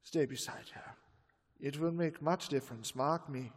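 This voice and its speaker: An actor playing a grave, wise older doctor who speaks solemnly and slowly. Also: male voice, fairly high pitch, breathy edge. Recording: reverberant, slightly distant.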